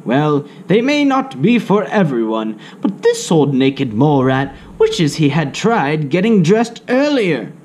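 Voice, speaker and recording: A regal old storybook grandpa mole rat, performed by a man, pompous and deliberate. He proclaims slowly in a raised, swelling pitch that rises and falls grandly. Faint room noise sits behind him. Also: very animated, darkish tone. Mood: grand, solemn.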